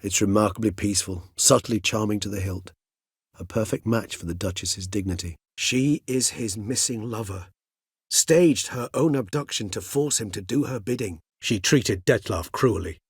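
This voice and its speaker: Video game role: ancient gentleman vampire, low and slightly husky, courteous, learned, wryly melancholy, formal diction. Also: no room sound, clean studio sound. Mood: wry, thoughtful, then grave, resolute. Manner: formal, eloquent, measured, wryly philosophical. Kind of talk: video game character dialogue.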